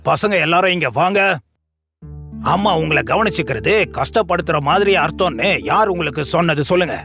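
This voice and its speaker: A man's voice: fairly high pitch, brisk, fairly bright tone. A man voicing a stern, protective father rooster in a children's cartoon, admonishing instructively, with music behind. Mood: stern, advising. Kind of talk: children's cartoon story acting.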